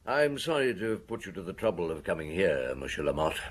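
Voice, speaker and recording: A man's voice: low voice, big pitch swings, fairly quick, slightly rough, exaggerated voice. An actor playing a shrewd, weary middle-aged male police detective in a radio drama, questioning people in a probing, matter-of-fact way. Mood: dry, questioning.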